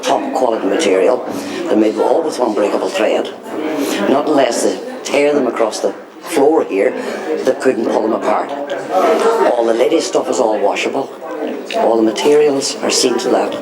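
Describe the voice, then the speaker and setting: Assured man over loud crowd noise. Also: medium pitch, moderate pace, low-quality recording. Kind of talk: casual interview answers. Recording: loud crowd chatter behind.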